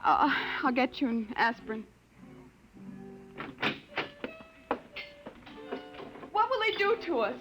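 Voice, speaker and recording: An actress playing an anxious, pleading young wife on the run in a melodramatic 1950s radio drama. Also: female voice, high-pitched, big pitch swings, brisk, fairly bright tone, faint music behind, low-quality recording. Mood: frightened. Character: frightened, pleading young wife fleeing her pursuers. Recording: restored 1950s radio recording.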